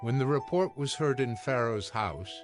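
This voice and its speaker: A man narrating a dramatized Bible recording in a measured, storytelling manner. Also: mid-range voice, lively intonation. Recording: music behind the voice.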